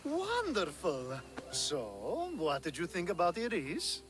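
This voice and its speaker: An actor playing a flamboyant, flirtatious ancient Greek groom-to-be in a video game, with a theatrical, teasing way of drawing out words. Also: male voice, high-pitched, huge pitch swings, a bit bright, faint music behind, full character voice. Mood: breezy. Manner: theatrical, drawn-out, teasing delivery. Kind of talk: video game cutscene acting.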